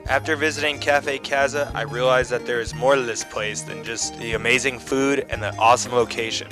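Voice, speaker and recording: A man's voice: medium pitch, steady tone, brisk, music in the background. A man hosting a food show, moving between scripted narration and casual interview talk.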